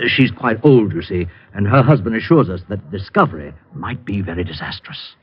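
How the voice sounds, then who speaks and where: A man's voice: lowish voice, expressive, normal speed. An actor playing a polite, placating male department store manager in a vintage radio drama. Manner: smooth, placating. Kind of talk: 1940s radio acting. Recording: muffled vintage radio recording.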